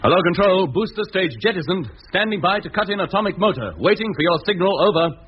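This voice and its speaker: A man acting as a brisk, commanding rocket captain in a 1950s radio drama, steady under pressure. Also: sits high. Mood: commanding, urgent. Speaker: actor in a radio serial. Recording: muffled, hissy 1950s radio recording.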